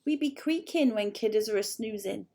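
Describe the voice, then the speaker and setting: A woman's voice: medium pitch, normal speed, gravelly, warm tone. A woman voicing a bossy, grumpy male creature who hisses and barks his words in broken grammar. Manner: hissing, barking, odd broken grammar.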